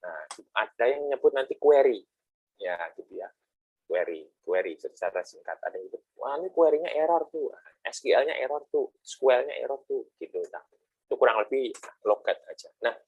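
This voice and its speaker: A man's voice: mid-range voice, a little mellow, breathy edge, no room sound, rough recording. A middle-aged man giving a classroom lecture in a chatty, explaining way, with frequent rhetorical asides and homely analogies.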